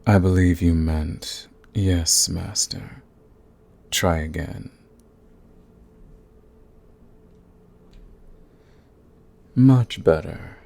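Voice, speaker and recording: A man voicing a dominant, possessive, seductive vampire in an intimate ASMR roleplay, coaxing and condescending, at times in a breathy whisper. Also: sits low, slow pace, a little mellow, heavily acted. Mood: commanding.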